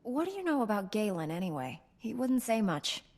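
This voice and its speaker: An actress playing a calm, self-assured warrior heroine in a video game, speaking in a composed, measured way. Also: female voice, mid-range voice.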